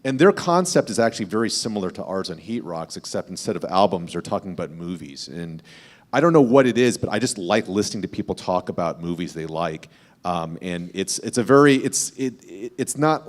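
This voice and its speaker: Low-voiced man talking on a panel. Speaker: podcast host on a panel. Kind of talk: conversational panel talk.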